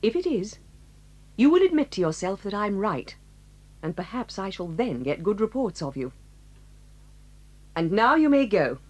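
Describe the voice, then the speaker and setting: An actress playing a stern, dignified headmistress who reproves pupils in a measured way, in a 1980s audio drama. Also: female voice, medium pitch, big pitch swings, a little mellow.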